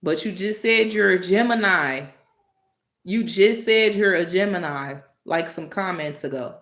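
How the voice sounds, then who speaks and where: A woman hosting a live stream, talking casually and directly to her chat, animated and exasperated with commenters. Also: lowish voice, unhurried, echoey room.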